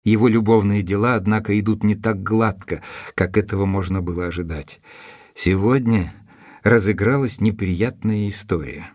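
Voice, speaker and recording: Male actor as earnest gentleman narrator, lowish voice, dry close sound, measured literary delivery, detective audio drama. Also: no room sound, close to an everyday voice. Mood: measured, reflective. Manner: measured, deliberate, literary phrasing.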